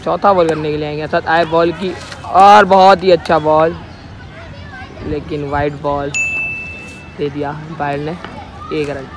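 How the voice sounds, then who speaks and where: A man's voice: fairly high pitch, little pitch movement, measured pace, pauses often, background noise. A man giving ball-by-ball commentary on an amateur street cricket match, calling each delivery in a repetitive set pattern, recorded outdoors on a phone.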